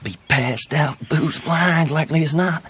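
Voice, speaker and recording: Folksy, uneasy American outlaw informant. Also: male voice, on the high side, a little slow, fairly bright tone, a little hiss or hum. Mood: wary. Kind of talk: video game cutscene acting.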